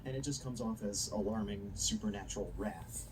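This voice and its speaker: A man's voice: lowish voice, a little fast, background noise. A man reading a scripted, analytical video essay narration.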